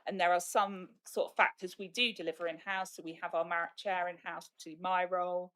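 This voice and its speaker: Council officer lady, lowish voice, just explains how things work. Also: steady tone, brisk, fairly bright tone. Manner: explanatory, plain and matter-of-fact. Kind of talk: answering questions at a council meeting. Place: council meeting chamber.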